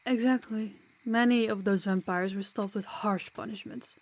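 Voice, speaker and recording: A woman's voice: medium pitch, steady tone, moderate pace, natural voice. Female vampire guide voiced by a woman, mid-range voice, chatty, kind and teasing, for an audio roleplay. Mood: friendly, explanatory. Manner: chatty, reassuring, playful.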